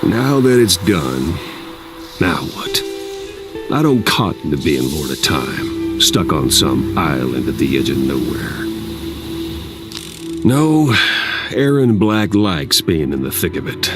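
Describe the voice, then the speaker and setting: A very deep, gravelly cowboy outlaw takes his time in an American Southern or Western drawl. He is cocky and folksy, a thrill-seeker narrating a game cutscene over music. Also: very animated, slow pace, pauses often, exaggerated voice. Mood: wry, musing. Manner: folksy, swaggering. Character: gruff cocky outlaw gunslinger, grown man, thrill-seeker.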